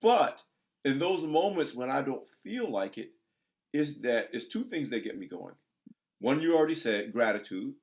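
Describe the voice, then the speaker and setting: A man's voice: mid-range voice, very animated, moderate pace. A man in his sixties hosting an interview, talking in an emphatic, preacher-like way as he encourages his listeners. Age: 60s. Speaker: interview host. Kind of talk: conversational interview.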